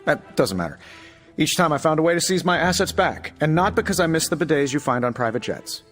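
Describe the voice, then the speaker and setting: An actor playing a glib, wisecracking billionaire inventor superhero in a video game, breezy and self-assured. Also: male voice, on the high side, lively intonation, fairly quick, a bit bright. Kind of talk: video game cutscene acting. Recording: reverberant hall, faint music behind.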